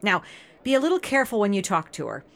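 A woman's voice: mid-range voice, very animated, fast talker, gravelly, fairly bright tone. An actress playing an eccentric, wry small-town mother in her sixties, chatty, playful and evasive in a natural audio drama. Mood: conspiratorial. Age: 60s.

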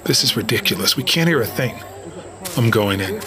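An actor playing a cynical, stern police officer in an audio drama, dry and skeptical. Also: male voice, medium pitch, lively intonation, moderate pace, lightly acted. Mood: impatient, scornful. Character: cynical, stern male police officer, self-styled realist. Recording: crowd noise behind. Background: crowd.

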